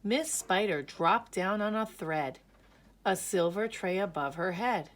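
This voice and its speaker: A woman reading a children's story aloud in a measured, expressive storytelling manner. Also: mid-range voice. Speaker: picture book reader.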